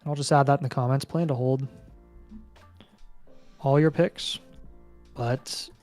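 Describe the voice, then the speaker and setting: A man co-hosting a casual livestream, giving brisk, terse advice item by item. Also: medium pitch, steady tone, a little mellow, faint music behind. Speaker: co-host.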